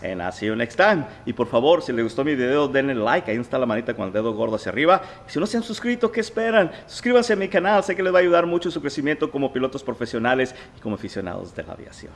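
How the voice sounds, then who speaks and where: Man, Mexican accent, rapid-fire pace, mid pitch, casual video host addressing followers. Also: very animated. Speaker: video host and flight instructor.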